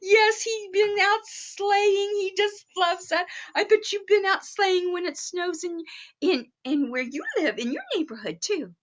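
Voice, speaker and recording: High-pitched woman storyteller, slow and bright. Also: dull audio. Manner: sing-song, child-directed delivery with simple reassurance. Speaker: storyteller playing Mrs. Claus, reading a picture book. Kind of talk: children's story time read-aloud with asides.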